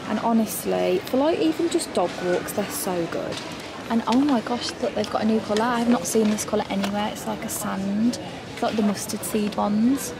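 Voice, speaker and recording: A young woman talks fast and gushes as she browses a big clothing store with a handheld camera. Her voice carries some vocal fry. Loud shop noise fills the background. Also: medium pitch. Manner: chatty, gushing, enthusiastic. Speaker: fashion vlogger. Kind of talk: casual shopping vlog. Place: large busy clothing store indoors. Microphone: handheld camera mic.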